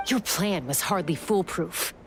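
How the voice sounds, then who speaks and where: Firm, defiant young game heroine. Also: female voice, fairly low pitch, unhurried, noisy background. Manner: firm, cool, blunt. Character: principled, defiant young heroine raised among winged beasts. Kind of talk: scripted video game voice acting.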